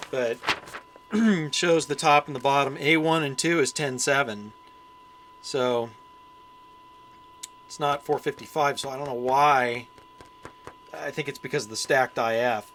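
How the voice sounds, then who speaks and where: A man giving a casual, unscripted repair walkthrough, plainspoken and thinking aloud with pauses as he works. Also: medium pitch, fairly bright tone, slight background noise. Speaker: hobbyist radio repairer presenting his channel.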